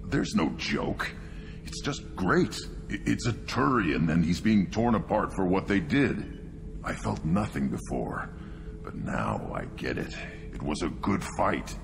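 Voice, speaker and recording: A hulking young alien warrior from a video game, brooding and bloodthirsty. His voice is extremely low and heavily gravelled, growling out intense, halting thoughts in a long reverb over loud music. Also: big pitch swings, exaggerated voice. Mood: savage elation. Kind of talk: video game character dialogue.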